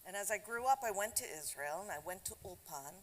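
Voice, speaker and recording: A woman's voice: mid-range voice, lively intonation, fairly quick. An older woman giving a conversational, reflective talk to the congregation at a Shabbat service in a synagogue sanctuary. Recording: long hall reverb with faint background noise.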